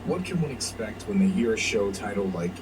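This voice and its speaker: Male narrator on the low side, scripted countdown read, noisy far-off playback. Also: animated, brisk. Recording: distant, noisy playback of a video.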